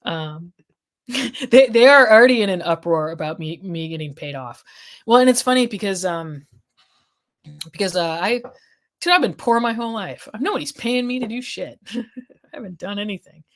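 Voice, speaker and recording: A woman hosting a casual legal news livestream, rambling with restarts and fillers as she reads viewer comments, her voice going hoarse. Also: mid-range voice, lively intonation, moderate pace, long pauses. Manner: rambling, many restarts and fillers, thinking aloud. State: losing her voice.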